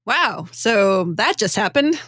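A woman's voice: mid-range voice, sing-song, slow pace, a bit bright, no room sound, studio quality. A woman co-hosting a podcast in casual banter and light skit lines. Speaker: podcast co-host.

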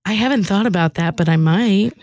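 A woman's voice: medium pitch, brisk, a little mellow, dry recording, studio quality. A woman chatting casually and playfully with friends, giggly in her delivery. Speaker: podcast regular, writer and performer of a radio theatre sketch. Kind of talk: casual group banter.

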